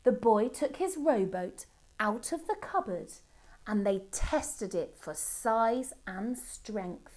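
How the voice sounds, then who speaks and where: A middle-aged woman reading a children's storybook aloud expressively, with deliberate dramatic pauses. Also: on the high side, expressive, a little mellow. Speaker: storybook reader. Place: indoor room by a Christmas tree. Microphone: camera a short distance away.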